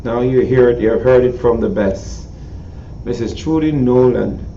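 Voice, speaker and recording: A middle-aged man with a Jamaican accent hosting a casual interview, talking haltingly with many ums and repeated words. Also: mid-range voice, warm tone, a little hiss or hum. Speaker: talk show host. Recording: echoey distant lo-fi video call.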